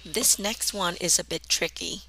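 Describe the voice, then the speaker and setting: A woman with a southern Vietnamese accent narrating a language lesson as the teacher, enunciating deliberately and carefully. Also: lowish voice, pushed and bright.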